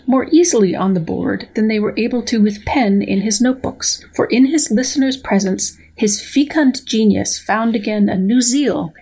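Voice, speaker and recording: A woman's voice: mid-range voice, a little mellow, husky, rough recording. A woman, a biologist guest on a podcast, reading passages aloud and answering with wry humor. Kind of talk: podcast interview with dramatic readings.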